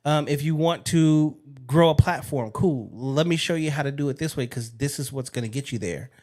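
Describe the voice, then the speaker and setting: A man's voice: fairly high pitch, normal speed, a little mellow. Frank, easygoing male podcast host. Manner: frank, candid, swears casually. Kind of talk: casual interview chat.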